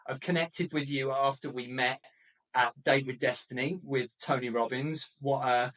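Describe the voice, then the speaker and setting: A man's voice: mid-range voice, fairly even intonation, normal speed, a bit bright, distant mic, low-quality recording. Upbeat male host, a bit off-mic. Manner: upbeat and encouraging. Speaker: podcast host. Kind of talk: casual interview talk.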